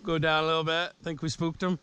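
A man's voice: a little high, completely flat delivery, quick pace, pushed and bright, smooth. Easygoing man coaching from a boat. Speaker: vlogger fishing with a boy. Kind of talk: casual outdoor vlog chat. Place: small boat on a river, outdoors. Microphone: action camera mounted on the boat. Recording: faint outdoor noise from a boat camera.

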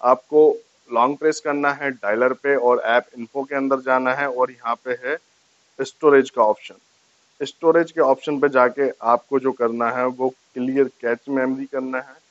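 A man's voice: mid-range voice, fairly even intonation, moderate pace, dry recording. Dull-sounding man explaining step by step. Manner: explanatory, repeats each step. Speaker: tech tutorial host. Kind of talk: casual step-by-step phone tutorial to camera. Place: small furnished room indoors, on a sofa. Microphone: lapel mic.

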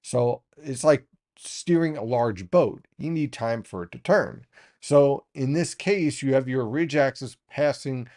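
A man in his thirties, a weather forecaster, giving a steady explanatory briefing over weather maps from a small home studio. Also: medium pitch, unhurried. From United States. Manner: steady explanatory tone, pointing things out on charts.

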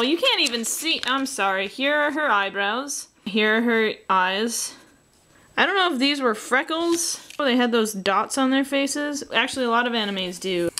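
A woman's voice: mid-range voice, pushed and bright, slight background noise. A woman chatting casually and joking to herself while she draws, talking through a drawing tutorial. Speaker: artist teaching drawing.

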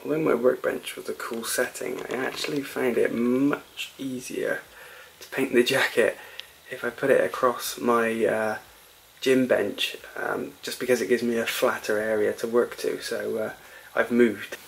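Relaxed man explaining a DIY project. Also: mid-range voice, unhurried, creaky. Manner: relaxed, explanatory, frequent "uh" fillers. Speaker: DIY vlogger. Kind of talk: casual voice-over narration. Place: home garage workshop. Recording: distant mic with audible background noise.

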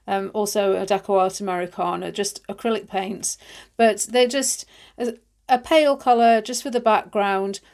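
A woman with a stuffy, nasal, congested voice talks through a hands-on craft demonstration. She is relaxed and chatty, pausing with ums as she explains each step. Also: medium pitch. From United Kingdom. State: nasal and congested from hay fever. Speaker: craft tutorial host. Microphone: overhead camera on hands, voice-over.

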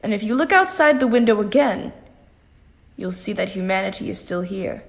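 A woman playing a composed phantom in a sci-fi audio drama, reasoning in a measured, pointed and persuasive way. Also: medium pitch, animated, creaky. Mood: forceful.

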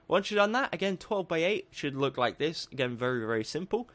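A man with a croaky voice, narrating a building tutorial as a voice-over, upbeat and lively, slowing down as he lists steps. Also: fairly high pitch. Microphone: voice-over over game footage.

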